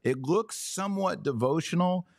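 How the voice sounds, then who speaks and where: Animated male podcast host, dry close sound. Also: sits high, normal speed, creaky, dry recording. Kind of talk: casual interview conversation.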